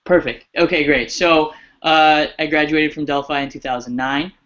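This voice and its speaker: A man's voice: fairly high pitch, fairly even intonation, moderate pace, a bit bright. A man in his twenties, an alumni guest, talking casually and rambling with restarts about his career on a video call. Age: 20s. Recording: muffled video-call audio.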